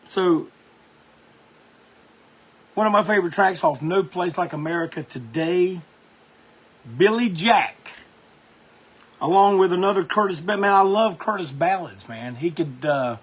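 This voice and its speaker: Husky-voiced man hosting a music show. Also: high voice, measured pace, pauses often. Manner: rambling, enthusiastic, folksy with frequent restarts. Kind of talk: casual music talk.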